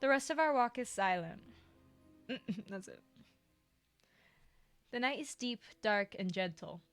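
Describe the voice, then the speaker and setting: A woman's voice: on the high side, expressive, slow pace, faint music behind. A woman hosting a game playthrough, reading the narration aloud and breaking off for joking asides and laughter. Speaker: let's play host. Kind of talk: reading a visual novel aloud with commentary.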